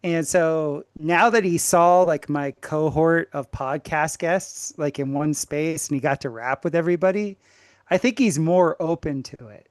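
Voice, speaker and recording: A man speaking casually as a podcast guest, animated and emphatic, often restarting his sentences. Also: on the high side, a little slow, clear, resonant tone, no room sound. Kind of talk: casual chat.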